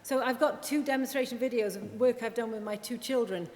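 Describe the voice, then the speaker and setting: A woman speech and language therapist giving a chatty conference presentation, full of quick asides and self-deprecating remarks. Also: a little mellow. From Wales. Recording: reverberant hall with faint rumble.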